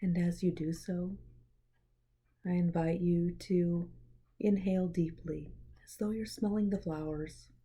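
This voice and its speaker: A woman leads a guided meditation in a lowish, husky voice. Her tone is very mellow and relaxed. She speaks gently and in a measured way, leaving breathy pauses between phrases. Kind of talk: guided meditation, soothing scripted narration.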